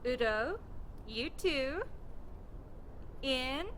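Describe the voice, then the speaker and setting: A woman's voice: high voice, lively intonation, very slow, a bit bright, slight background noise, full character voice. A woman playing an upbeat, bossy young survivor who coaxes and takes charge in an audio drama. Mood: coaxing.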